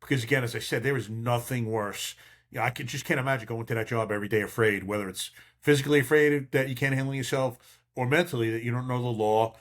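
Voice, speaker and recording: An older man with a mid-pitched voice talks like a seasoned cop hosting a podcast. He gives casual advice in a blunt, emphatic, streetwise way. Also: quick pace. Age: 50s or older. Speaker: podcast host, veteran police officer.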